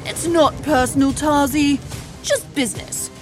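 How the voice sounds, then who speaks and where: A woman voicing a treacherous young man who talks with cold, mocking calm in a dramatized story. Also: sits high, measured pace, over music. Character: treacherous young human man, smooth-talking.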